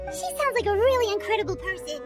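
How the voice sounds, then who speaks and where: An actress playing a gracious, theatrical young woman, a stage star, speaking politely and thoughtfully in a video game. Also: very high-pitched. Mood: sympathetic.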